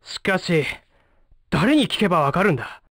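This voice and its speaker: A rough-talking young male ninja from a fighting game. His delivery is blunt and slangy, with very animated intonation. The recording is dry and studio-close. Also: high voice, moderate pace, dry recording, heavily acted. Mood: pondering, gruff. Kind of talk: video game voice acting.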